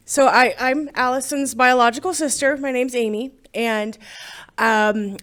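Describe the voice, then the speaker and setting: Woman, fairly high voice, earnest, halting, lots of "you know". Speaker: guest speaking at a memorial. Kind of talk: informal spoken remembrance.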